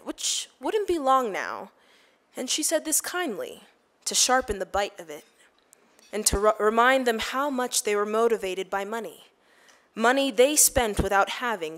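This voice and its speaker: Female actor, higher-pitched voice, for dramatic narration of literary fiction. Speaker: actor giving a dramatic reading.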